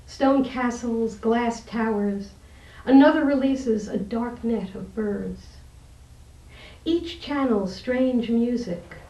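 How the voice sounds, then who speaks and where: Woman poet, interview guest, creaky voice, soft dark tone, roomy distant recording. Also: on the high side, dull audio. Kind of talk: interview talk and poetry reading. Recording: roomy echo, distant microphone.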